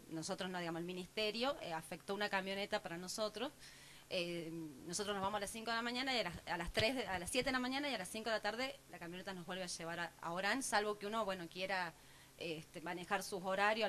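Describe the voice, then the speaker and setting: A woman's voice: mid-range voice, fairly bright tone, a little hiss or hum. A woman, an assistant prosecutor, answering a panel in a formal job interview, explaining at length with many fillers.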